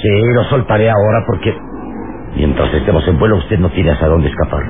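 An actor playing a calm, mocking hero in an old radio serial, taunting deliberately with menace. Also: male voice, deep voice. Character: calm, mocking adult hero confronting a villain. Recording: tinny, noisy old radio serial recording.

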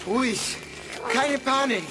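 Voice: high voice, takes it slowly, rough recording. A performer playing a brave, reassuring young boy, encouraging and urgent, in an audio drama. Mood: urgent, steadying.

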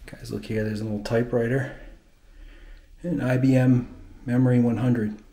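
A man's voice: mid-range voice, level tone, normal speed, warm tone, slight background noise. A man giving a casual, understated, matter-of-fact walk-through as an exploring vlogger. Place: indoors, inside an abandoned old house.

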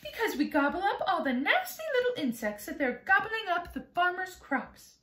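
A woman's voice: very high-pitched, very animated, moderate pace, bright, forceful tone, roomy sound, voice pushed up, exaggerated voice. A woman voicing a small, proud female ladybug who speaks modestly but with a snobbish, refined manner. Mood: genteel.

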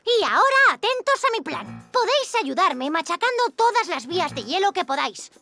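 A small boy superhero in a lizard suit for a children's cartoon, earnest and eager. The voice is very high and swings widely in pitch, with a Castilian Spanish accent. Music plays behind it. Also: big pitch swings, normal speed, creaky, pushed and bright, full character voice. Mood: determined. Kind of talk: animated children's cartoon dubbing.

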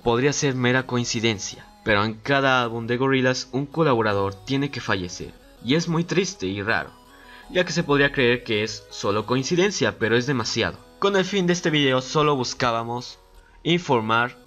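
Man narrating at medium pitch over music. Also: moderate pace, fairly bright tone. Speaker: guest collaborator on a YouTube video. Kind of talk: scripted narration.

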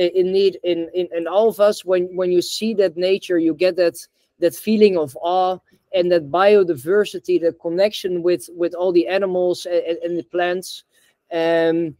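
Young man from the Netherlands, high and hesitant. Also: warm tone, no room sound. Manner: hesitant, many fillers and restarts. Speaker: guest, bamboo reforestation founder. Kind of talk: casual interview over video call. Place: indoor room. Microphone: video call.

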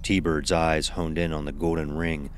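A man's voice: extremely low pitch, little pitch movement, a little slow. A man narrating a scripted horror story in a literary, dramatic style. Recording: noisy ambient sound bed.

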